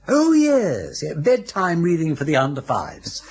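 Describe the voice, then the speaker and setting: An actor playing a jaunty, meddling older English gentleman with husky, breezy upper-class banter in a radio drama. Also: on the high side, huge pitch swings, a little slow, heavily acted. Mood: inquisitive, amused.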